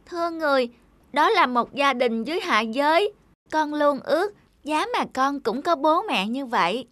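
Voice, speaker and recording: A woman's voice: sits high, animated, normal speed, fairly bright tone, dry recording. A woman voicing a small, earnest angel girl in a cartoon, childlike and polite, speaking lines. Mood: eager, respectful. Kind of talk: animated fairy-tale dubbing.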